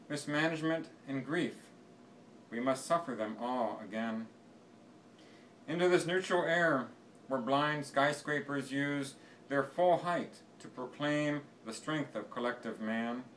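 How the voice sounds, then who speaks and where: A man's voice: medium pitch, fairly bright tone. Distant-miked man reciting poetry. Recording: distant mic with faint background noise.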